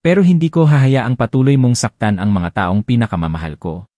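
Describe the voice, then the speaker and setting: A man's voice: mid-range voice, brisk, no room sound, close to an everyday voice. A man voicing a furious protective husband. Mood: angry. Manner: forceful, shouting, then calm but firm. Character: angry young husband defending his family.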